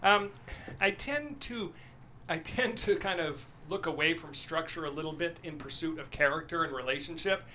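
Guy screenwriter being interviewed, kinda high bright voice, rambles passionately, noisy background. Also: moderate pace. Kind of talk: candid talk about own writing.

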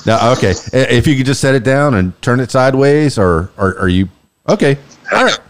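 A man hosting his podcast in full radio DJ mode. He talks like a showman, jumping his pitch up and down to sell each line, with a mid-range voice. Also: sing-song, fairly quick. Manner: playful showman, shifting pitch for emphasis. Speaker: podcast host and DJ. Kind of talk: hyped radio DJ patter. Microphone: studio mic.

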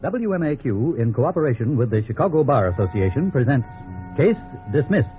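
A man's voice: mid-range voice, big pitch swings, brisk. An American man announcing a 1950s radio drama in a dramatic, formal broadcast style. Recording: tinny 1950s radio recording. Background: music.

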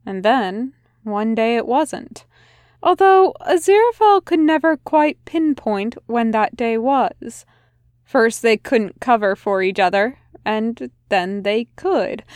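A woman reading a fan fiction story aloud in a soft, unhurried narration. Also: on the high side, animated, dry recording, studio quality. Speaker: podfic reader.

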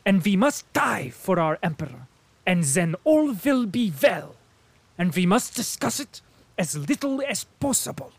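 A man reading aloud voices a stout, patriotic German colonel who declaims loudly and pompously. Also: high voice, sing-song, measured pace, dry recording, pitched above the performer's own voice, heavily acted. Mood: blustering. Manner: loud, emphatic, pompous declaiming.

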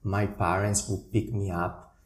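A man from northern Italy, a painter answering interview questions in a relaxed way. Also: low voice, measured pace. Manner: relaxed, recalls his past with fillers and restarts. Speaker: guest painter. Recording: echoey room with faint noise.

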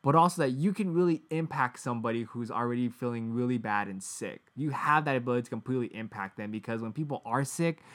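A man's voice: medium pitch, fast, few pauses. A man co-hosting a podcast, telling a story in a casual, profane way and acting out the people he quotes. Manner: animated, profane, acts out quoted voices. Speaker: podcast co-host.

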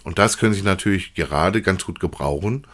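A man's voice: deep voice, brisk, fairly bright tone. A man hosting an unscripted, casual review chat, feeling his way through sentences with some repetition. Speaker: podcast co-host reviewing audio dramas.

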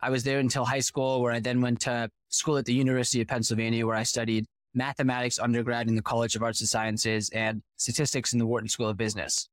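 A man in his thirties who grew up in Boston, a podcast guest and company founder telling his story in a fluent, animated way with rhetorical questions. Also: medium pitch, fast, hardly any pauses, no echo. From United States (Boston). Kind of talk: casual interview storytelling.

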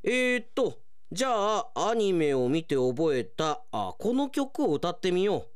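A man's voice: sits high, sing-song, measured pace, clean tone, soft background music. A man acting in a radio drama as a proud, naive, hot-headed alien investigator, speaking in an earnest, melodramatic way. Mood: excited.

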